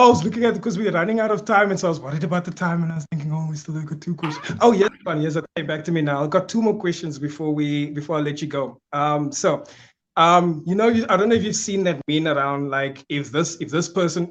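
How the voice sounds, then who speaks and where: A South African man hosting a podcast, asking halting, rambling questions full of fillers. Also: fairly high pitch, muffled recording. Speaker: podcast host. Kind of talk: casual interview.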